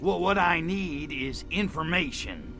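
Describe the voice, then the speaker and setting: A wisecracking alien invader from a video game, with a gravelly, fairly high voice and an American accent. He drawls his sardonic slang very slowly, with music clearly behind him. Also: animated. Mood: cocky, casual. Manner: laid-back hipster slang, sardonic drawl. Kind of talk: video game cutscene acting.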